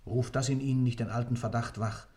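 An actor in a German radio play, playing an impassioned defendant who declaims a dark creed in fervent, rhetorical speech. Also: male voice, medium pitch, steady tone, a little fast, lightly acted. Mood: insistent, reasoning.

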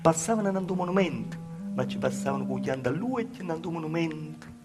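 A man's voice: fairly high pitch, animated, brisk, close to an everyday voice. A male actor with a Calabrian accent playing a humble peasant woman, telling her village past in a plaintive, folksy, repetitive stage monologue. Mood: matter-of-fact recounting. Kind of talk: theatrical dialect monologue over live music. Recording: loud live music behind the voice. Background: live music.

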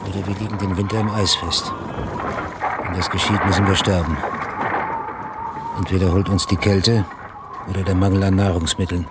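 Deep-voiced, grave sailor played by a man. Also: level tone, normal speed, long pauses, very noisy, natural voice. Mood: worried warning. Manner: grave, urgent, respectful. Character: worried, outspoken ship's officer in polar ice. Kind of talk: German radio drama acting.

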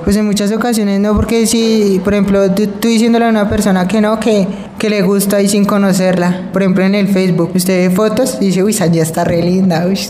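A man's voice: high-pitched, level tone, fairly quick, few pauses, warm tone. A young man giving casual answers in a vox-pop interview. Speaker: street interviewee. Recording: echoey, roomy sound with faint noise.

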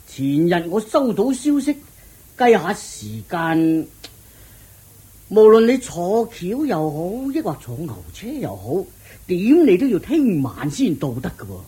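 An actor playing a jovial, curious king in a radio drama, with a rough husky voice and a Hong Kong Cantonese accent, exclaiming eagerly. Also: high voice, big pitch swings, unhurried, warm tone, full character voice. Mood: amazed, curious. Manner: exclaiming, chuckling, repeating words eagerly. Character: excitable, jovial king, curious and easily delighted.

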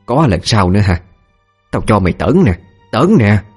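A man's voice: on the low side, very animated, moderate pace, husky, faint music behind. A man voicing a cruel middle-aged mistress of a rich household, berating in a Southern Vietnamese accent in an audio story. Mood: furious. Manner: berating, snarling word by word.

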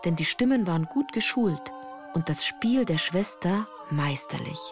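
A woman's voice: on the low side, expressive, measured pace, over music. A woman reading scripted first-person literary narration for a radio play in a composed, articulate storytelling manner.

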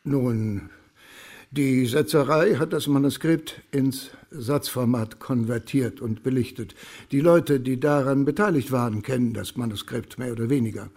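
An actor playing a formal, evasive publishing boss in a radio crime drama, measured, courteous and a little patronising. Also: male voice, medium pitch, a little mellow. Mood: guarded. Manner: measured, stiffly polite.

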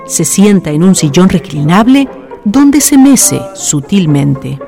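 A man's voice: high-pitched, very animated, slow pace, gravelly, over music. A man reading scripted narration for a radio drama.

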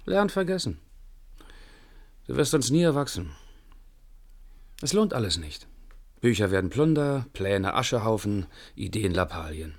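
An actor playing a skeptical, world-weary intellectual lover in a radio play, speaking in a wry, intimate way. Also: male voice, lowish voice, very animated, a little slow, pauses often, heavily acted. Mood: wry, resigned. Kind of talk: 1980s German radio play acting.

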